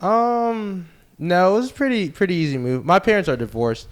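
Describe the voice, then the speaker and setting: Smooth-voiced young man telling stories. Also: sits high, normal speed. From United States. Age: young adult. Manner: relaxed, rambling storytelling with fillers. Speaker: baseball player guest. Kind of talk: casual interview.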